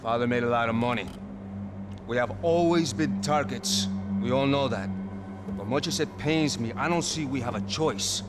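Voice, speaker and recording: An actor playing the spoiled, flippant younger brother of a rich Brazilian family in a video game, over music. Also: male voice, medium pitch, moderate pace, heavy background noise, lightly acted. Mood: uneasy. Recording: loud music and vehicle sound behind.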